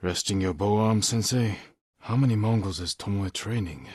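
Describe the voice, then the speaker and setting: An actor playing an honourable, stoic samurai warrior in a video game, speaking in a measured, solemn way with short, clipped sentences. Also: male voice, lowish voice, darkish tone, husky, no room sound, studio quality. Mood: calm, resolute. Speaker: video game lead character. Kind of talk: dramatic video game voice acting.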